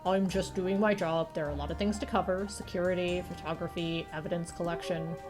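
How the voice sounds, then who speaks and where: Woman doing a gruff, curt old police detective. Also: on the low side, fairly even intonation, brisk, over music, lightly acted. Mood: gruff, defensive. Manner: curt, sardonic.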